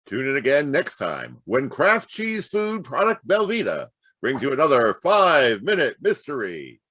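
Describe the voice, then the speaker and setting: A man's voice: medium pitch, wildly swooping pitch, moderate pace, sharp tone, no echo, studio quality. A man announcing a radio show in a scripted, grand, theatrical 1940s style.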